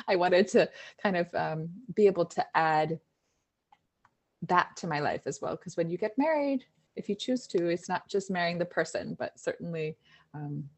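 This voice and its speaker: Low-voiced woman recalling teaching abroad. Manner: warm storytelling with fillers and restarts. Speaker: Montessori education director, guest. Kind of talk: conversational interview answers.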